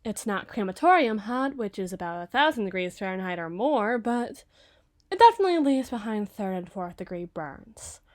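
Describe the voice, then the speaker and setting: A woman's voice: medium pitch, very animated, normal speed, close to an everyday voice. A young woman voicing a sardonic, obsessive female detective in an ASMR roleplay, with a sarcastic, morbid, teasing manner.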